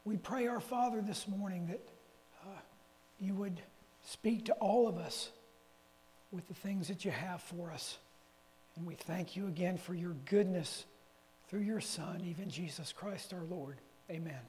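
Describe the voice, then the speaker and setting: A man's voice: high voice, measured pace, a little mellow. Folksy man preaching in a hall. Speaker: lay preacher. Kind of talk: conversational sermon with personal stories. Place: church meeting hall. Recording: reverberant hall with faint hum.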